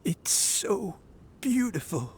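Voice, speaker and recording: A man voicing a kindly, wistful old grandfather in a game, speaking tenderly and haltingly, full of sentiment. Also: sits high, sing-song, darkish tone, slight background noise, exaggerated voice. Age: older. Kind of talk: dramatic game voice acting.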